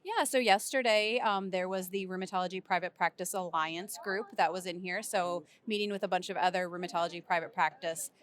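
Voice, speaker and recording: Low-voiced woman, practical. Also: a little fast, few pauses. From Iowa, USA. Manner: straightforward, practical, steady. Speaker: rheumatologist. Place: convention center floor.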